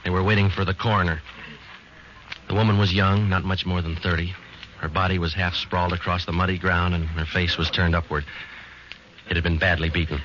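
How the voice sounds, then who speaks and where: An actor playing a terse, dogged police detective, deadpan and clipped, in an old radio drama. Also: male voice, sits low, a little fast, slight background noise, close to an everyday voice. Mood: deadpan narration. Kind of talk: 1950s radio drama acting with scripted narration. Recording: muffled old radio recording with music.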